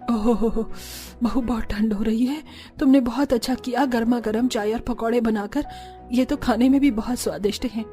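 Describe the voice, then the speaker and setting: A woman playing a kindly middle-aged mother-in-law in a cartoon story, gently instructing and caring. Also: on the high side, fairly even intonation, moderate pace, soft background music, lightly acted. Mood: pleased. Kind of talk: Hindi cartoon story acting.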